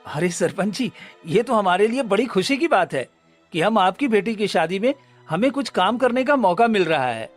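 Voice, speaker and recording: A man's voice: high-pitched, animated, moderate pace, faint music behind. A man playing a greedy, scheming food-cart husband in a Hindi cartoon story, with a fawning, pleading manner.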